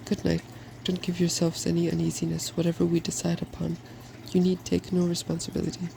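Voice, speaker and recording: A narrator acts out a brisk, self-assured young woman of learning in a storybook. She is soft-spoken and cheerfully bossy, with a very creaky, even voice. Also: mid-range voice, background noise. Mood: cheerful, decided.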